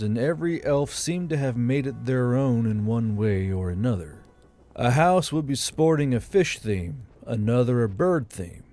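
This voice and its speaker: A man's voice: mid-range voice, warm tone. A man reading scripted fiction as an audiobook narrator.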